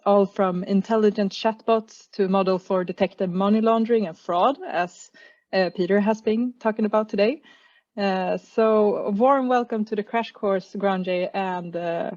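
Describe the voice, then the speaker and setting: A woman's voice: medium pitch, darkish tone. Female course host introducing a guest on a video call, polite, dull-sounding audio. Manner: polite, with frequent uhs.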